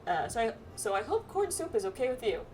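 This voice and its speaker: A woman's voice: fairly high pitch, big pitch swings, fast talker, darkish tone, distant mic. Woman voicing a courteous camp-cook astrologer, music behind. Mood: cheerful, hesitant. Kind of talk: voiced game dialogue.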